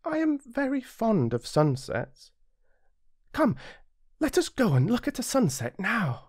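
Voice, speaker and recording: Storybook little boy played by a grown man, British, very high and lilting, earnest, curious, wistful. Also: huge pitch swings, unhurried, slightly rough, no echo, studio quality, exaggerated voice. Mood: fond, eager. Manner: earnest, questioning, gentle. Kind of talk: storybook character voice.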